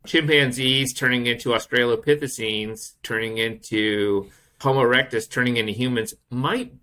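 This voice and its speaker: A man's voice: medium pitch, fairly even intonation, moderate pace, pushed and bright. A man co-hosting a science podcast, introducing the guest and explaining things with enthusiasm. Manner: enthusiastic, explanatory, building up to a reveal. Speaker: podcast co-host.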